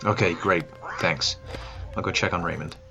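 A man's voice: low-pitched, steady tone, normal speed, creaky. A man playing a caring, hopeful scientist in an audio drama. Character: caring, hopeful male scientist tending sick animals.

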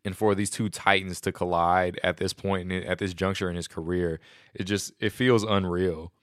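A man hosting a casual solo podcast, excited and rambling, a little nasal and sniffly from a cold. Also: sits low, normal speed, dry recording, clean studio sound. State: congested from a stomach bug. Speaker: podcast host.

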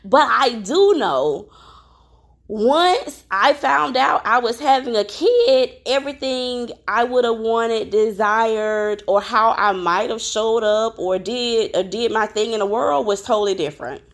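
A woman's voice: on the high side, measured pace. A woman, hosting her own podcast in a chatty, upbeat way, with a slightly crackly, worn voice. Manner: chatty and upbeat, addresses listeners directly, many fillers. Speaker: podcast host. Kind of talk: casual solo podcast chat.

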